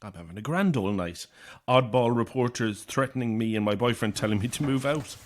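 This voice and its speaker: An anxious, defensive young Irishman, played by a male actor in an audio horror drama. He sounds isolated and on edge, with a sardonic streak. The delivery is naturalistic, at a middling pitch. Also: a little fast, natural voice. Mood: strained, weary.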